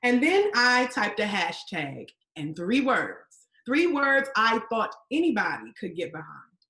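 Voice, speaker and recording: A woman acting a guarded, firm character in a live staged play reading, with deliberate, emphatic phrasing over a video call. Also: medium pitch, big pitch swings, bright, forceful tone, echoey room, full character voice. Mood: firm, defiant. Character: guarded, firm woman confronting an acquaintance over racial politics. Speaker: actor in a virtual staged reading. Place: indoor room, streamed over video webinar.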